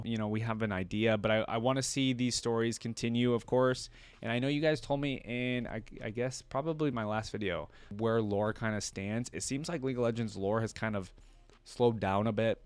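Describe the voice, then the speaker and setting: A man's voice: medium pitch, fairly even intonation, moderate pace, clear, resonant tone, no room sound. A man hosting a reaction video, reading aloud and commenting casually.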